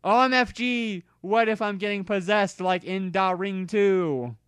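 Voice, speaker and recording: A man's voice: sits high, unhurried, fairly bright tone, clear, resonant tone, no room sound, clean studio sound, pitched above the performer's own voice. A man voicing an angry, weepy teenage goth girl in an overwrought, melodramatic storybook manner. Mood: upset.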